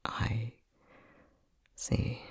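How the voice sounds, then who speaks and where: A man plays a tender, easily flustered young boyfriend in an ASMR roleplay. His voice is low and very husky, with breath all through it. He speaks slowly and softly, tripping over his words with hesitant stammers. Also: no echo, clean studio sound, full character voice. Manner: hesitant, stammering, soft-spoken. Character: flustered, tender young boyfriend newly discovering magic powers.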